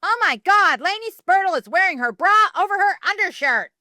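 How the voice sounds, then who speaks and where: A woman playing a shrill, mean teen queen bee. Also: animated, brisk, no room sound, studio quality, full character voice. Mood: taunting. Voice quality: sharp, shrill. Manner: taunting, cruel. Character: mean teenage girl, queen bee cheerleader.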